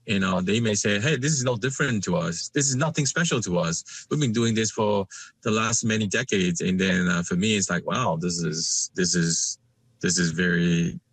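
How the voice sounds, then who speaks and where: A man from Malaysia, a guest in a conversational interview, speaking haltingly with many fillers and restarts. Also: sits low, fairly bright tone, slightly rough.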